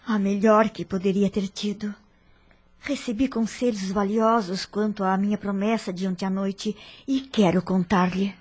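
An actress playing a dignified, forgiving middle-aged wife in a radio drama, with a Brazilian accent and an earnest, formal delivery. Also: female voice, medium pitch, fairly even intonation, a little slow, darkish tone, husky. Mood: earnest, resolute.

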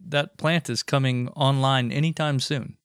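A man's voice: mid-range voice, fairly even intonation, normal speed, clean tone, no echo, clean studio sound. A man co-hosting a podcast, casually teasing and wisecracking with the host. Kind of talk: casual banter.

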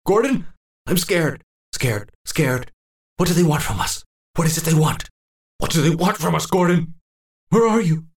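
A man's voice: sits low, animated, normal speed, voice pushed up. A man plays a frightened old man lost in a nightmare, his voice leaping up and down as he mutters and repeats himself. It sounds distant and roomy, as if heard from across an echoing space. This is a horror audiobook. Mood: frantic. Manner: frantic, repetitive muttering in first person.

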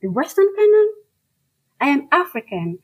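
A woman's voice: high-pitched, sing-song, normal speed. A woman from Nigeria, a native Igbo speaker with a Nigerian accent, answering as a writer in an emphatic, conversational way. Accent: Nigerian Igbo-language. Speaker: fiction writer being interviewed. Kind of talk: conversational, reflective interview answers.

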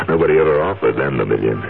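An actor playing a brooding, resentful husband with a sullen, agitated manner in a 1970s radio drama. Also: male voice, rumbling low, animated, fairly quick, gravelly, full character voice. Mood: desperate, bitter. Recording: muffled vintage radio broadcast with music.

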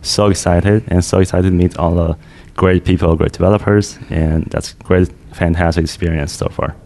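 A man from China with a low, mellow voice talks as a guest in a casual interview. His phrasing is loose and full of fillers. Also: steady tone, moderate pace, gravelly. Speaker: guest developer. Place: conference venue.

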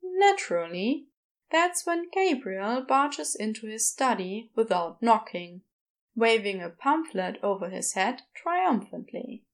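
A woman narrating scripted fiction in a measured, wry storytelling manner. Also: fairly high pitch, sing-song.

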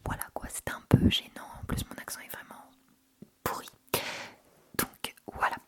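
Woman, ASMR creator: casual, intimate chat in a soft whisper, close-miked. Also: normal speed, no echo. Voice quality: unvoiced, breathy whisper. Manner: informal, chatty, intimate. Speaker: ASMR video creator and host. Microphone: sensitive Tascam recorder without windscreen, close up.

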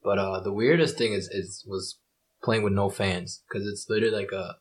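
Husky-voiced young man, laid-back chat. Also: lowish voice, moderate pace. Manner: relaxed, swearing, animated when retelling. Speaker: podcast guest, professional soccer player. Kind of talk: casual chat.

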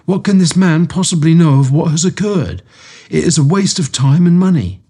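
A man's voice: a little high, animated, normal speed, mellow, slightly rough, voice pushed up, full character voice. A man doing a sullen miserly grumbler, raised and strained. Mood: scornful. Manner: peevish, complaining.

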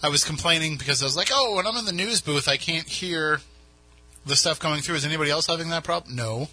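A man's voice: fairly high pitch, fast, pushed and bright, low-quality recording. A man with a New England accent hosting a radio show, chatting casually in a studio with many restarts. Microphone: studio mic.

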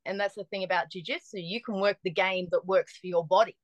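A woman, chatting as a podcast guest and telling lively stories with frequent restarts. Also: fairly low pitch, a little fast, dry recording. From Australia. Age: 40s. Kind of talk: casual chat.

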